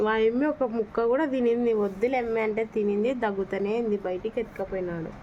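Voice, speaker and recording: A woman's voice: mid-range voice, fairly even intonation, fast. A woman explaining things in a casual phone conversation. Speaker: prank caller. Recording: slightly muffled phone call, faint noise.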